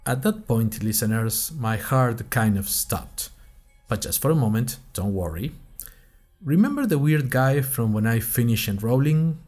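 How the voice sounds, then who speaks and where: A man's voice: mid-range voice, expressive, a little slow, darkish tone, soft background music. A man narrating a scripted first-person fiction podcast in a conversational way, with wry asides to his listeners.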